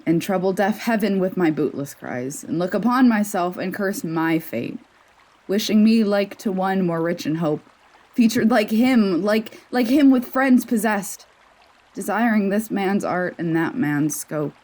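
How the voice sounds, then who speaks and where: A woman's voice: mid-range voice, measured pace, darkish tone, close to an everyday voice. A woman performing a Shakespeare sonnet as the monologue of a lonely outcast consoled by love. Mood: despondent. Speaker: student drama performer.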